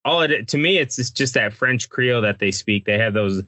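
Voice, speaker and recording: Dude hosting, rambly and chuckling, bright voice, dry close mic. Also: male voice, medium pitch, animated, normal speed, dry recording. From Texas. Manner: laughs often, loose rambling talk. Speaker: podcast host. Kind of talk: casual chat.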